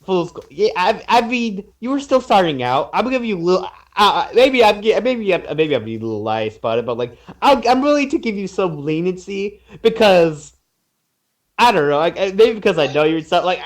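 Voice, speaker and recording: A man's voice: high voice, sing-song, brisk. A man chatting casually as a podcast panelist, stammering, repeating himself and trailing off. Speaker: podcast panelist reviewing fan fiction. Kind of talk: casual chat.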